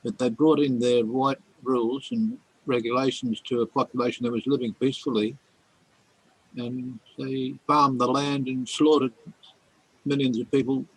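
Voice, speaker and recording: A man's voice: mid-range voice, level tone, moderate pace, husky, poor audio. A man speaking bluntly and critically in a casual group conversation. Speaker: group participant. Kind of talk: casual chat.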